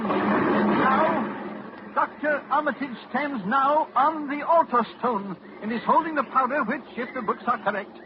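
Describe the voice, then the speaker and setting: An actor playing a shaken doctor who reports on a monster at the microphone, in a 1940s radio drama. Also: male voice, very high-pitched. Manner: breathless on-the-spot reporting, shaken. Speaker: Doctor Rice. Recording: dull old radio recording with hiss.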